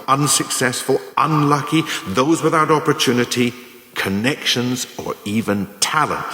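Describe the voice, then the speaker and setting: An actor playing a scheming, pompous senior manager, delivering a deliberate, oratorical radio play monologue. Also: male voice, lowish voice, a bit bright. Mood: grandly ruthless. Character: scheming pompous senior manager lecturing on ruthless career advancement. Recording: echoey hall with long reverb.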